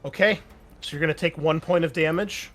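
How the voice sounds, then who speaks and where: A man's voice: fairly high pitch, fairly quick. Male game master, easygoing delivery, faint music bed. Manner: casual, relaxed, frequent fillers. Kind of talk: running a tabletop game.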